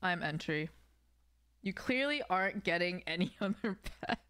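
A woman's voice: on the low side, animated, moderate pace, fairly bright tone, clean tone, no room sound, studio quality. A young adult woman streaming a game, chatting casually and playfully with her viewers in slangy speech. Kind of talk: casual live stream chat while gaming. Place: small room at home, indoors.

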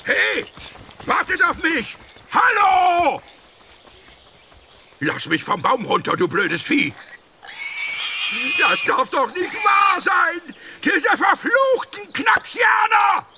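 An actor playing a scheming, whiny, blustering thief in a children's audio drama, with theatrical exclamations. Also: male voice, extremely high pitch, big pitch swings, takes it slowly, long pauses, shouting, noisy background, exaggerated voice. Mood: panicked, furious. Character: scheming, whiny male thief and braggart villain.

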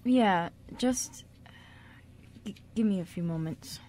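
A woman playing an emotional, devoted young man in an amateur radio drama, halting and intense. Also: mid-range voice, mellow. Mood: subdued.

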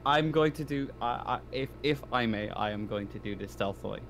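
A man's voice: medium pitch, fairly quick, fairly bright tone, slight background noise. A man playing a tabletop roleplaying game in casual chat, hesitant, often repeating himself and swearing.

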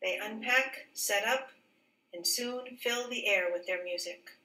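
A woman teacher reading a children's picture book aloud in a careful, expressive, clearly phrased way. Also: medium pitch, a little slow. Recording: roomy echo, distant microphone.

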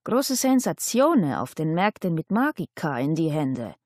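A woman's voice: sits low, big pitch swings, a little slow, darkish tone, dry recording, studio quality, heavily acted. Audiobook figure: Italian showman, played by a woman, keen and pleading, broken speech, Italian accent. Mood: eager, pleading. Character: Italian travelling showman, eager, simple-hearted man.

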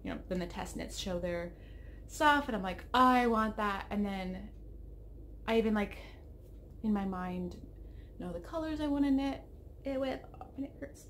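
A woman's voice: mid-range voice, lively intonation, a little slow, pauses often, slight background noise. A woman in her thirties hosting a knitting podcast from her home craft room, chatting in a rambling, self-interrupting way, her throat a little froggy. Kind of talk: casual chat. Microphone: webcam at desk.

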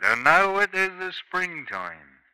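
An actor playing a scheming middle-aged highwayman and father who coaxes a widow in old country speech, in a 1950s radio drama. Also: very animated, slow pace, sharp tone, smooth, no echo, exaggerated voice, rough recording. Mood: coaxing. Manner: wheedling, sly rural speech. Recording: dry vintage radio recording.

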